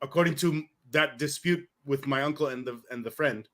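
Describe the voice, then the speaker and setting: A man's voice: medium pitch, moderate pace, fairly bright tone. A man hosting a podcast, chatting casually and thinking aloud in a friendly way, hedging with "you know". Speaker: podcast host. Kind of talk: casual conversation.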